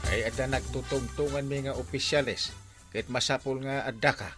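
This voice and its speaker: An actor with a Filipino accent playing an excitable, nosy villager who exclaims dramatically in a radio comedy drama. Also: male voice, medium pitch, brisk, music in the background, close to an everyday voice. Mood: insistent. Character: excitable, nosy villager called Enteng, pleading and teasing.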